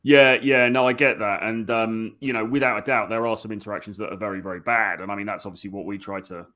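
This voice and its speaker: A man hosting an interview, asking measured questions and answering often with "yeah". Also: lowish voice, steady tone, pushed and bright. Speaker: host, dating coach. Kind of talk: podcast interview.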